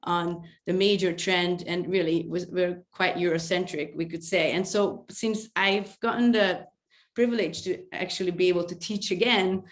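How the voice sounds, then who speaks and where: Hungarian-accented woman in her 40s, low-pitched, hesitant panelist on a video call. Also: steady tone. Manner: halting, hesitant, laughs often. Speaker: history professor on a panel. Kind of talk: webinar panel remarks. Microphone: video call mic.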